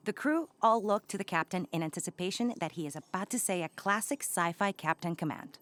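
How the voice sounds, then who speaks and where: A woman's voice: lowish voice, a little fast, a bit bright, lightly acted. A woman acting as the matter-of-fact narrator, reading stage directions in a scripted comedy play.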